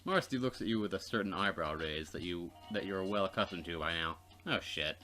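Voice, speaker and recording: A man's voice: low-pitched, fairly quick, bright, forceful tone, soft background music. A man reading visual novel narration aloud for a let's play, with casual asides.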